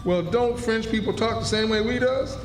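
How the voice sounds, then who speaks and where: A man's voice: high voice, moderate pace, close to an everyday voice. A man playing a good-hearted, stubborn runaway slave in a radio play, talking in homespun rural dialect. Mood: curious, puzzled. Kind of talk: stage radio drama acting. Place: live stage in a hall. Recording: echoey hall, music behind.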